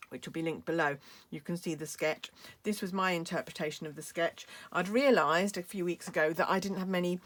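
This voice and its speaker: Low-voiced British woman demonstrating a craft project. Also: a bit bright. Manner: chatty, explains step by step with fillers. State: losing her voice, coughing. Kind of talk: crafting tutorial.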